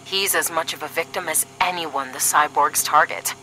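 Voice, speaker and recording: A woman's voice: sits low, fairly even intonation, normal speed, bright, forceful tone, slight background noise, poor audio. A woman acting as a sardonic, duty-minded young paramilitary agent in a scripted audio drama, dry and serious.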